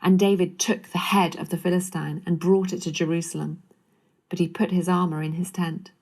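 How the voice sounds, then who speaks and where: A woman with a fairly low voice reads as the narrator of a scripture story. Her delivery is scripted storytelling. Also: level tone, moderate pace, a little mellow, rough recording.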